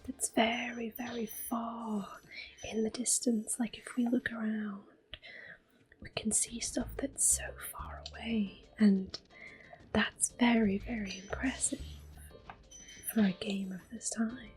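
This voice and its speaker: A woman giving soft-spoken, mostly whispered ASMR commentary on a video game in a gentle, unhurried manner. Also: a little high, little pitch movement, faint music behind, poor audio. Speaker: ASMR gameplay host.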